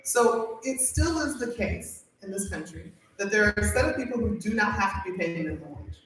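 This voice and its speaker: A woman's voice: medium pitch, lively intonation, fairly quick, a little hiss or hum. A woman policy expert explaining wage policy in a casual panel talk, asking rhetorical questions. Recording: echoey room, far from mic.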